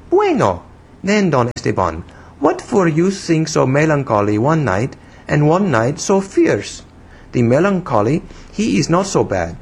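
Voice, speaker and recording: Guy doing a saucy little spanish-accented woman, high childish voice, broken english, pouty, storybook style. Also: breathy edge, full character voice. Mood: puzzled, teasing. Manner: broken English, pert and pouting. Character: pert, saucy small young Spanish-speaking woman, childlike and teasing.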